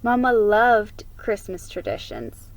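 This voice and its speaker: A woman's voice: mid-range voice, very animated, slow pace, vocal fry, exaggerated voice, poor audio. A woman voicing an anxious, wistful young girl from a storybook, earnest and hesitant.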